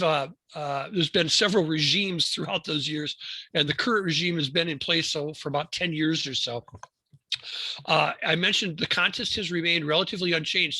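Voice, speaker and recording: Male American club presenter. Slightly higher-pitched, crisp bright tone, hesitant informal delivery with doubled-back phrases. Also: dry recording. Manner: halting, repeats words and phrases, hesitant delivery. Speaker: amateur radio club presenter.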